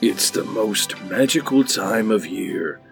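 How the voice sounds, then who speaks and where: A man playing a solemn, overblown film trailer announcer with a slow, portentous delivery. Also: lowish voice, expressive, gravelly, over music, heavily acted. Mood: mock solemn. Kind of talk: parody film trailer narration.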